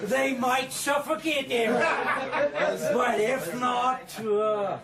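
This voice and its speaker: An actor playing a gloating gang patriarch making an oratorical speech to followers in a radio drama, full of veiled threat. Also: male voice, very high-pitched, drawn-out words, full character voice. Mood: menacing, gloating. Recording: echoey room, distant, loud crowd.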